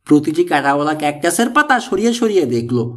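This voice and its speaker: Male narrator for mystery audiobook, higher-pitched voice with animated, swinging intonation. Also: quick pace. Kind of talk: scripted narration of a mystery thriller story.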